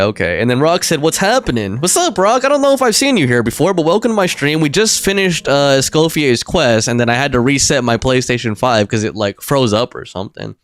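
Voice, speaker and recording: A male game streamer talks casually to his chat, thinking out loud in a slangy, rambling manner. His mid-pitched voice moves up and down in an animated way. Faint music plays behind him. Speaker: game livestreamer.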